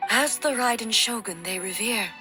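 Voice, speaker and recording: An actress voicing a solemn, dignified warrior deity in a video game, with a formal, measured and resolute delivery. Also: female voice, fairly high pitch, music in the background, lightly acted. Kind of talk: scripted video game voice acting.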